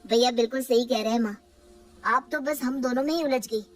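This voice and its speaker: A woman's voice: on the high side, fairly quick, close to an everyday voice. A woman voicing a young husband who reasons patiently but gets exasperated with his mother. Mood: reasoning. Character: dutiful young husband, hesitant and torn.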